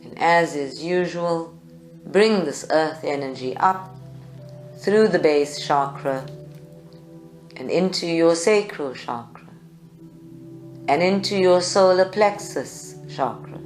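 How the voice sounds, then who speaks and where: A woman leads a guided meditation in short, measured phrases with long pauses. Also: sits low. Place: live group session indoors at a creativity centre. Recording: echoey room, music behind. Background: meditation music.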